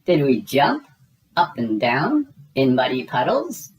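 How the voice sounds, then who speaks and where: A woman's voice: very deep voice, takes it slowly, recorded from a distance, full character voice, poor audio. A woman voicing a small, cheerful girl piglet who is eager and curious. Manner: bright and excited.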